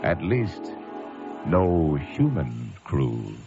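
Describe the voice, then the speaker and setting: Haunted old-radio storyteller, very deep voice, suspenseful pauses, loud music under him, muffled. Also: male voice, sing-song, creaky, heavily acted. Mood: grim, chilled. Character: haunted former lighthouse keeper recalling a rat horror. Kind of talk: dramatic first-person horror storytelling in radio drama.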